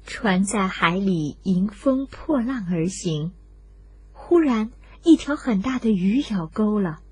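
A woman narrating a scripted children's story in an expressive, deliberate storyteller's manner, addressed to young listeners. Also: medium pitch, breathy edge, no room sound.

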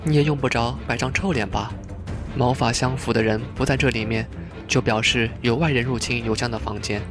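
A man's voice: mid-range voice, steady tone, fairly quick, music in the background, lightly acted. An actor playing an irritated, blunt man in an audio drama.

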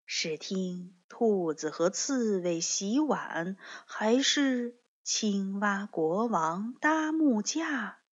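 A kindly old grandmother bear from a children's storybook, acted out by a woman. She speaks slowly and softly, with patient, forgiving gentleness, in a slightly dull recording. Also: medium pitch, lively intonation. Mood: gentle, fond. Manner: gentle, slow, soft-spoken.

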